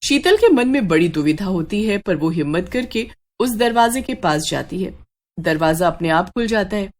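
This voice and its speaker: A woman reading scripted horror narration as a dramatic, measured storyteller. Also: medium pitch, big pitch swings.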